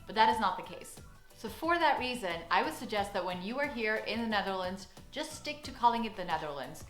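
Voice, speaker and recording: A woman's voice: medium pitch, expressive, fairly quick, a bit bright. A woman giving friendly, earnest advice in a scripted vlog. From United States. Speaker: vlogger. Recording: echoey room with background music.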